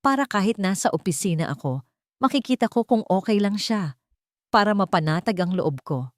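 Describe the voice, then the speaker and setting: Worried dad in a romantic audio story, read by a woman: doubtful, questioning, expressive pitch movement. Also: moderate pace, no room sound, studio quality, natural voice. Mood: reassuring. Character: doubting, worried well-off father in a romantic drama.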